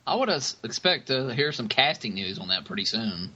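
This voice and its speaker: A man co-hosting a podcast, chatting informally in a halting way. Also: mid-range voice, big pitch swings, bright, forceful tone, husky, highs cut off. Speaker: podcast co-host. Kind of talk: casual podcast chat.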